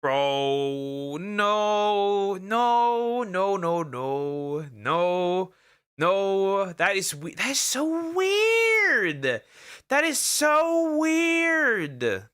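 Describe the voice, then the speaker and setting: A man reacting to clips on his stream, speaking slowly in a bright, clear voice. He is blunt and emphatic and swears freely. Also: sits high, very animated. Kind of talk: casual reaction commentary.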